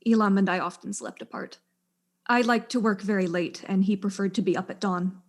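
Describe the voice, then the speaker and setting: A woman's voice: medium pitch, fairly even intonation, fairly quick, natural voice. A woman playing a proud, weary widowed aerospace engineer, speaking with dry, exasperated formality in a stage-style mystery drama. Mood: composed.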